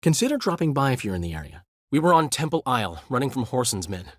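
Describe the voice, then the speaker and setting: An actor playing a vain, witty middle-aged bard in a video game, speaking theatrically with playful asides. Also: male voice, medium pitch, very animated, fast, no echo, studio quality. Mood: gossipy. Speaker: game character and epilogue narrator.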